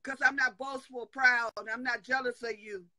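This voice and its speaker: Woman, middle-aged, fiery preaching host, bright tense voice, dry close sound. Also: on the high side, steady tone, normal speed, dry recording. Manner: emphatic, declaring, with call-and-response asides. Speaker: host of a live prophetic talk stream. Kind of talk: fiery preaching-style talk. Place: indoor room at home. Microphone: webcam on a live video call.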